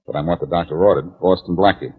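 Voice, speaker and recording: An actor playing a cool, quick-witted detective in a 1940s radio drama, clipped, wisecracking and urgent. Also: male voice, low-pitched, fairly even intonation, slightly rough, phone-quality sound. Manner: clipped, wisecracking, hard-boiled.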